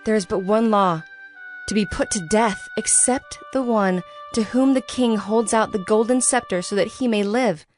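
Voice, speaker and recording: Young queen of ancient Persia played by a female actor, grave and troubled, slightly high pitch, scored Bible drama. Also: close to an everyday voice. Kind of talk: dramatized audio Bible acting.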